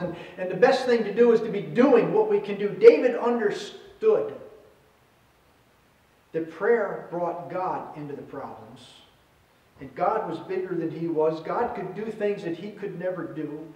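A middle-aged man preaching a sermon as a pastor, with measured delivery in short phrases. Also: sits high, a little mellow, muffled recording. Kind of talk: sermon with scripture reading. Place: indoors at a church pulpit. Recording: roomy echo, distant microphone.

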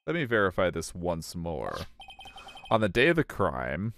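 A man as low, earnest young defense attorney. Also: wildly swooping pitch, unhurried, gravelly, fairly bright tone, slight background noise, exaggerated voice. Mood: determined, grave. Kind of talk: voiced visual novel playthrough.

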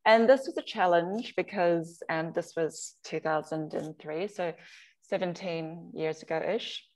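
Low-voiced New woman presenting. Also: measured pace, darkish tone. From Samoa. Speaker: surgeon, guest speaker. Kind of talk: informal slide presentation. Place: video call, remote webinar.

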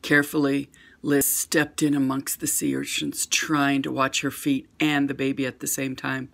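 A woman's voice: a bass voice, moderate pace, creaky. Older woman reading a picture book aloud. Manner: gentle expressive storybook reading. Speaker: storyteller.

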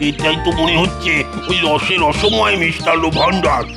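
Genial old grandfather, cartoon, male actor: creaky, raised voice, hesitant, repetitive phrasing. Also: on the high side, moderate pace. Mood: genial, dithering. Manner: hesitant, musing, repeats himself. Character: dithering, genial old grandfather shopping for sweets. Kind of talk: cartoon voice acting. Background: music behind the voice.

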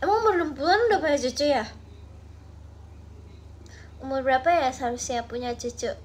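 Woman, 20s, high voice, playful livestream chatter into a phone, faint background hiss. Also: expressive, brisk. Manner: playful, musing, drifts into soft murmurs. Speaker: idol livestreamer. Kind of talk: casual late-night livestream chat. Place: small bedroom at night. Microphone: phone at arm's length.

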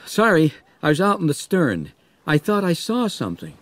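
A tentative man at sea as a male narrator plays him speaks in a raised, lively voice. He is hesitant and apologetic, an audiobook lookout. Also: fairly high pitch, normal speed, pitched above the performer's own voice. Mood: uneasy, unsure.

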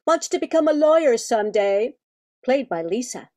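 A woman's voice: a little high, lively intonation, normal speed, darkish tone. A woman reading a scripted character introduction for an amateur radio play.

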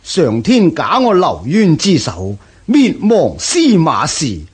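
An actor playing a proud, scornful Xiongnu chieftain in a Cantonese historical radio drama, declaiming grandly with a Hong Kong accent. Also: male voice, on the high side, wildly swooping pitch, slow pace, breathy edge, full character voice. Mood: scornful, boastful.

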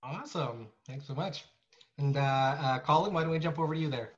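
A man's voice: on the high side, brisk. A man hosting a casual group conversation over a video call. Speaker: host. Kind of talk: casual chat. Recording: dull video call audio.

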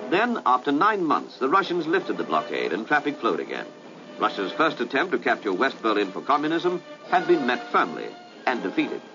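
A British man narrating a 1950s public information film in a formal, measured, emphatic way, on a muffled old film soundtrack. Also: mid-range voice, huge pitch swings, loud music behind the voice.

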